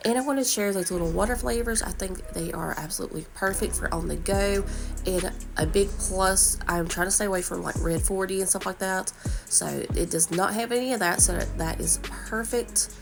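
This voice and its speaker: A woman's voice: medium pitch, moderate pace, vocal fry, music in the background. A woman in her thirties, stuffy from a cold, chatting upbeat about products in a casual shopping vlog voice-over. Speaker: vlogger.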